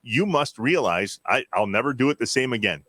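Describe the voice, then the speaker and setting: A man's voice: medium pitch, lively intonation, a little fast, pushed and bright, no room sound. An American man co-hosting a casual sports talk show, telling stories with enthusiasm and emphasis.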